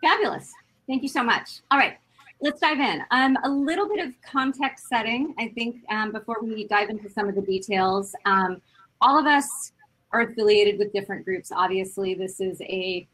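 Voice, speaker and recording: A woman in her fifties moderating an online panel, encouraging and upbeat, with frequent "um" pauses. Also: medium pitch, a bit bright, low-quality recording. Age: 50s. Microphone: video call.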